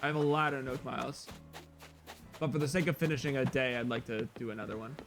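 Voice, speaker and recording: A young man with a loud, slightly hoarse voice, rambling casually to his viewers as a live streamer while he plays a game. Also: medium pitch, steady tone, quick pace. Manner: loud, rambling, talks straight to chat. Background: faint guitar music.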